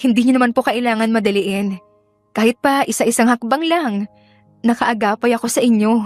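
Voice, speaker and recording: Lady doing young laundry girl in a radio drama, gentle n polite, highish pitch, soft music behind. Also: moderate pace, dry recording, natural voice. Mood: encouraging, gentle. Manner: polite, deferential, softly earnest. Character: young laundrywoman, gentle, polite, encouraging, flustered when worried. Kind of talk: dramatized audiobook dialogue.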